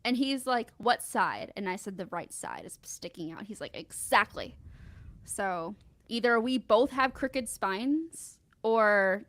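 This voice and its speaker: A woman guest in casual chat, telling animated stories and acting out what people said. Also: medium pitch, slow, dry recording. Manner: animated storyteller, acts out quotes. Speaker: podcast guest.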